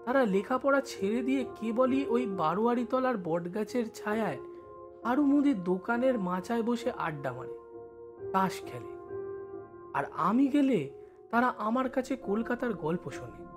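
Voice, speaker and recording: A man playing a village schoolboy who studies in the city, telling his story in expressive first-person narration. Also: very high voice, unhurried, music in the background, full character voice. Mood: reflective. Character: village schoolboy studying in Kolkata, recalling his life.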